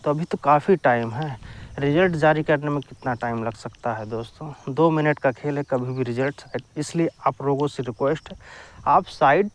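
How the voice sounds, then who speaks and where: A man's voice: on the high side, moderate pace, low-quality recording. Man explaining, repeating for emphasis. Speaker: exam-news YouTuber. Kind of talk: informal explainer to viewers.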